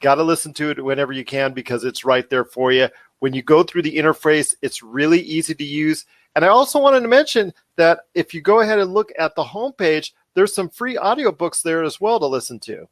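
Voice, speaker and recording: A man's voice: a little high, lively intonation, fairly quick, few pauses, dry recording. A man hosting a podcast interview in a wordy, effusive, promotional conversational style.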